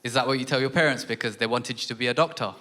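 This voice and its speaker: A man's voice: mid-range voice, very fast. A man hosting a live comedy show on stage, trading teasing banter with his co-host. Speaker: radio breakfast show host. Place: theatre stage with a live audience. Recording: loud crowd and noise.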